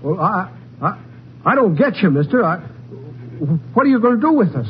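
Folksy American family man, high-pitched, stubborn and hopeful farmer for 1950s radio drama, muffled old recording. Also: sing-song, normal speed, slight background noise. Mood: alarmed, defiant.

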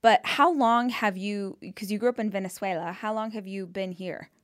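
A woman's voice: mid-range voice, moderate pace, no room sound, studio quality. A woman hosting a podcast interview who reflects aloud with enthusiasm. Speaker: podcast host. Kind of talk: conversational interview.

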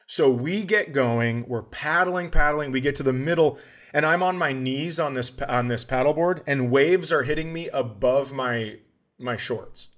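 A man's voice: medium pitch, a little slow, a bit bright. A man hosting a podcast, telling a casual comedic story in a profane, exasperated way and acting out the dialogue. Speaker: podcast host.

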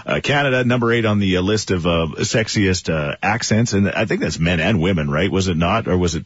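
A man's voice: sits low, brisk, slightly rough, dry recording. A man hosting a morning radio show, chatting casually with co-hosts and callers, rambling with plenty of fillers and chuckles. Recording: dull lo-fi broadcast audio.

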